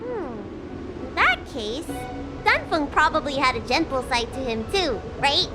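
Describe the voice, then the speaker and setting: A woman's voice: high-pitched, big pitch swings, a little slow. A woman voicing an earnest, childlike young dragon girl healer in a video game. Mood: curious. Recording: loud music behind voice.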